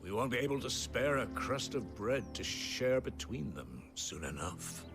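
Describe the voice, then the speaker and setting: A man's voice: mid-range voice, very animated, unhurried, over music. A man acting a weary, deferential villager in a video game, with an earnest and careworn manner. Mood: bleak.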